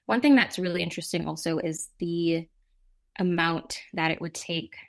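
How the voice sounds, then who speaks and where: A woman's voice: on the low side, normal speed, creaky. A middle-aged woman from Jamaica answering interview questions conversationally, in a reflective, explanatory way with frequent asides. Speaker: nonprofit representative, interview guest.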